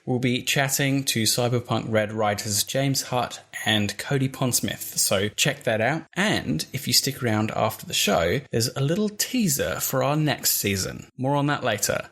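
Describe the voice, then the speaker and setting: A man's voice: mid-range voice, fairly bright tone. A man reading a podcast host's announcement to listeners before an episode. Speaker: host and game master introducing the episode.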